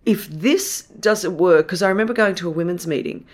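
A woman raised in Australia, Greek her first tongue, talking as a guest in an easy conversation. Her voice sits a little low but jumps up high when she presses a point, with plenty of fillers and restarts as she tells her story. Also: fairly quick. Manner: animated storytelling, voice rising sharply when emphatic. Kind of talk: conversational testimony.

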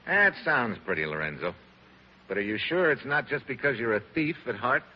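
A man's voice: mid-range voice, big pitch swings, normal speed, lightly acted. Guy acting a tough american secret agent, slangy and dry, muffled noisy 1940s radio recording. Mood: wry, skeptical. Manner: clipped, slangy, wry. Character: tough, wisecracking American male special agent.

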